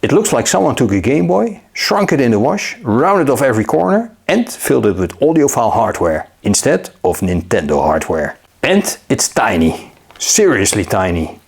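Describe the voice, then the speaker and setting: A man narrating a scripted gadget review in a measured, upbeat way. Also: mid-range voice, big pitch swings, gravelly, slightly rough. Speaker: tech reviewer.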